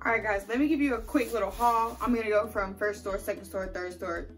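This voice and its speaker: A woman's voice: medium pitch, a little fast. Young American woman chatting over music. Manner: informal, slangy, animated asides. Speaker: vlogger. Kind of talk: casual vlog chat. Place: inside a parked car and at home.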